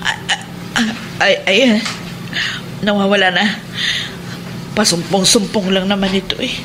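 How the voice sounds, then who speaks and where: A woman's voice: medium pitch, fairly even intonation, unhurried, background noise, lightly acted. A woman acting a resentful, ailing and anxious wife in a Filipino radio drama. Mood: pained.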